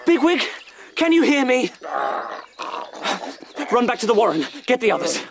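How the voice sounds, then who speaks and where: Anxious, animated young rabbit leader. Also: male voice, very high-pitched, normal speed, gravelly, crowd noise, exaggerated voice. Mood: urgent, alarmed. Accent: British. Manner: urgent, pleading, commanding. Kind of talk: British radio drama acting.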